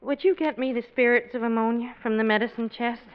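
High-voiced exasperated wife played by an actress. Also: female voice, fairly even intonation, a little fast, smooth, close to an everyday voice. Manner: firm, exasperated. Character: exasperated, firm wife and mother. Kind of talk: 1940s American radio sitcom acting. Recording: dull old radio recording.

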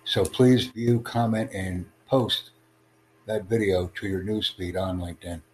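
A man hosting a livestream podcast, addressing callers in a deliberate, instructive way. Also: sits low, steady tone. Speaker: podcast host. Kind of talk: livestream chat with online callers. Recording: clearly noisy, humming background.

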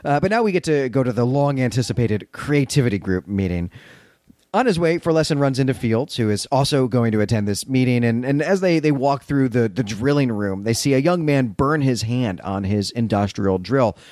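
A man's voice: medium pitch, lively intonation, normal speed, darkish tone, no echo, studio quality. A man co-hosting a podcast, recapping and commenting in a conversational, explanatory way with rhetorical check-ins. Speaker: podcast co-host.

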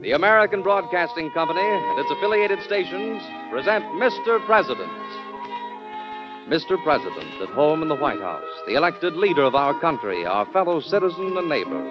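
A man's voice: a little high, sing-song, normal speed. A man announcing a vintage radio drama with a grand, dramatic scripted delivery. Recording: dull old radio broadcast recording. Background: music.

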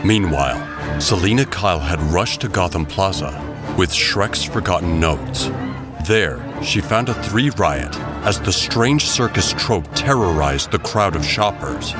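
A man narrating a scripted audio drama in a dramatic, deliberate storytelling way. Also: low voice, expressive. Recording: loud music bed behind the voice. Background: loud music.

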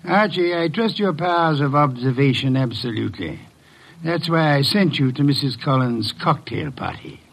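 An actor playing an imperious, brilliant older private detective, gruffly dismissive and precise in his diction, in 1950s radio drama style. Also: a little high, lively intonation, fairly bright tone. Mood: probing, assured. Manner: pompous, precise, deliberate diction.

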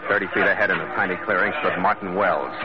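A man's voice: low voice, fairly even intonation, fast, lightly acted. An actor playing a tough, commanding sea captain narrating his adventure in terse, hard-boiled old-time radio style. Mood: tense narration. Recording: muffled, noisy old radio recording.

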